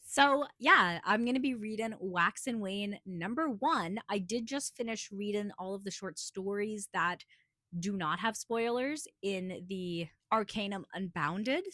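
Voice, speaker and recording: A woman with a hoarse voice from a cold, giving a chatty, rambling book review with lots of filler words. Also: mid-range voice, expressive, a little slow, fairly bright tone, dry recording, clean studio sound. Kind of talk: casual book review vlog.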